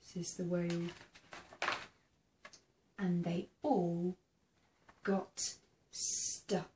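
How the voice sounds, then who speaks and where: A woman reading a children's picture book aloud in a theatrical, expressive way, engaging young listeners. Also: fairly low pitch, very slow. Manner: asks the listening child questions. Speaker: storybook reader. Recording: roomy sound with faint background noise.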